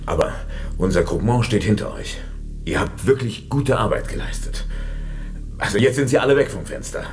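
An actor playing a glib, nervous, evasive boss of a French political group in a German radio drama, with stammering, comradely patter. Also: male voice, lowish voice, lively intonation, moderate pace, a little hiss or hum. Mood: scheming.

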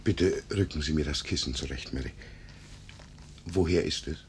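An actor playing a lonely, self-pitying paralysed gentleman of seventy-two in a 1950s German radio drama, querulous and plaintive. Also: moderate pace. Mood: worried. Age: older. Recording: noisy, rumbling 1950s radio recording.

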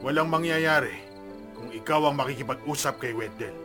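A man's voice: medium pitch, normal speed, music in the background, lightly acted. An actor playing a stern, suspicious, confrontational father in a radio drama. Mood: curt, irritated. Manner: confrontational, demanding.

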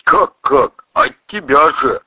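Guy doing a big gruff ex-gangster priest for an audiobook, slangy and teasing, raspy, swings up and down. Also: mid-range voice, expressive, takes it slowly, sharp tone, no echo, pitched above the performer's own voice, full character voice. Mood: wry, teasing. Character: burly bearded priest, ex-gangster, folksy, gruff, wry.

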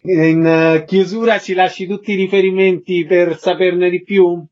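A man's voice: high voice, level tone, moderate pace, darkish tone, lo-fi sound. A man hosting a radio interview, giving short prompts, announcements and sign-offs to his guests.

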